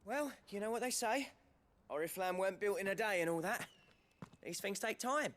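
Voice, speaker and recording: A man's voice: sits high, moderate pace, fairly bright tone. An actor with an English accent playing a good-natured, wry working man in a video game. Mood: cheery, wry. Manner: colloquial, wry. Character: chirpy, good-natured, folksy male working-class villager. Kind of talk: dramatic video game dialogue.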